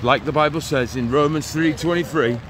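A man's voice: on the high side, moderate pace, fairly bright tone. A man in his forties vlogging on a phone held at arm's length as he walks outdoors in the rain, preaching in an earnest, urgent way and often repeating himself. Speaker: street evangelist vlogger. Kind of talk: walk-and-talk preaching vlog. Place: outdoors on a town street in the rain. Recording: phone on a street with traffic noise. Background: passing traffic.

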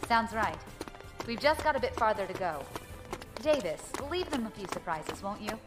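A decisive female space pirate leader, played by an actress in an audio drama. She is brisk, wry and commanding at an average pitch, with loud music behind her. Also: very animated, lightly acted. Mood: cool command. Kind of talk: science fiction audio drama acting.

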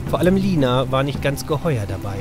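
A man's voice: mid-range voice, big pitch swings, a little fast, loud music behind the voice, natural voice. A man narrating a children's adventure audio drama as a scripted storyteller. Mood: storytelling.

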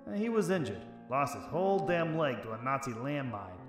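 A man's voice: mid-range voice, very animated, normal speed, reverberant, music in the background, close to an everyday voice. A man playing a stubborn, wry 1940s private detective in a noir radio drama, speaking in terse, slangy tough talk on a close mic. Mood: brooding narration. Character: hard-boiled, stubborn 1940s private detective, gruff uncle. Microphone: close studio microphone, headset.

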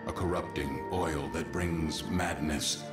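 A solemn game mentor with a very low voice. He speaks in measured, philosophical phrases, grave and cryptic. His words echo under loud music. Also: steady tone. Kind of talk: scripted video game acting. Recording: echoing, loud music behind.

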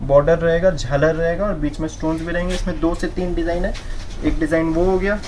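A young man selling sarees wholesale in a shop, running a brisk sales pitch and repeating the prices in English. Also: fairly even intonation, noisy background. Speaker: saree seller. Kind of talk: wholesale product showcase. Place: indoor saree shop floor.

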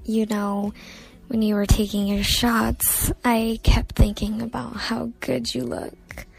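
An actress plays a flirtatious woman in an audio drama. She speaks slowly in a fairly high voice with plenty of vocal fry. Her manner is playful and intimate. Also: level tone, darkish tone, faint music behind, exaggerated voice, low-quality recording. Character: flirtatious woman on a date, playful then apologetic.

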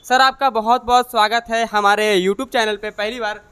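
A man's voice: high-pitched, normal speed, smooth, no echo. A young man hosting a vlog from a used car showroom, touring it and interviewing staff with a clip-on mic. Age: young adult. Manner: energetic presenter, repeats answers back. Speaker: vlogger. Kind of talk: casual vlog walkthrough. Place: car showroom and lot, indoors and outdoors. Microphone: lapel mic.

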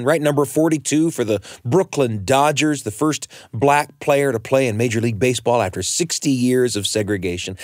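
A man's voice: mid-range voice, a little mellow, no echo, studio quality. An American man hosting a radio storytelling show in a conversational, emphatic way, often turning to the listener with questions.

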